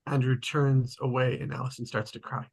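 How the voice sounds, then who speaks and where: Very deep, husky woman narrator. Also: level tone, moderate pace, a little mellow. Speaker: stage-direction narrator. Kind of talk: scripted narration.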